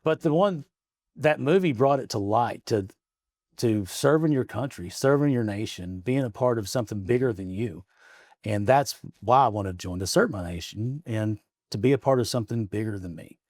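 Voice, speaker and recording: A man in his forties from Arkansas chats as an interview guest in a capitol office, his voice at an ordinary middle pitch. He rambles, restarts his stories and chuckles often. The sound is close and dry, with no room echo. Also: animated, normal speed, a little mellow. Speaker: guest, state representative. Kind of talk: casual interview conversation.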